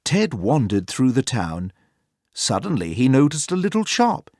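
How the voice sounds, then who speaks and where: A man narrates a children's storybook in a medium-pitched voice. His intonation is lively and expressive, with clear phrasing. The recording is close and dry, with no room around the voice. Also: measured pace, no room sound. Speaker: storybook reader. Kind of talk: animated children's read-aloud narration.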